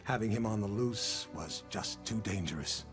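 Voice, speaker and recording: An actor playing a shrewd, grave American intelligence chief who speaks in a measured, ominous, deliberate way in a game cutscene. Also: male voice, on the low side, lightly acted. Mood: grave, insistent. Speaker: intelligence official character in a video game cutscene. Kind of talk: dramatic game cutscene dialogue. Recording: dramatic music behind the voice.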